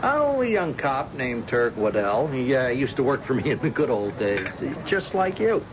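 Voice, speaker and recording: A man's voice: medium pitch, sing-song, close to an everyday voice. An actor playing a stubborn, cagey middle-aged blind father in an old-time radio drama, with a hesitant, folksy delivery. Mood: cheerfully evasive. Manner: hesitant, folksy, halting interjections. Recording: muffled, noisy old radio recording.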